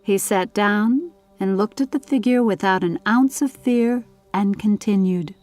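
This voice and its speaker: A woman narrating a scripted story for an audiobook in a measured, literary reading style. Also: medium pitch, a little mellow, soft background music.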